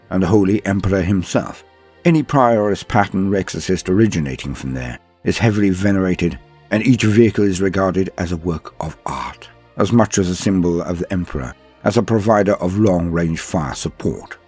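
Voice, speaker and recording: A man with a husky voice giving scripted lore narration in a florid, theatrical, formal manner. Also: deep voice, animated, moderate pace, darkish tone, faint music behind. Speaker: narrator and host of a lore guide.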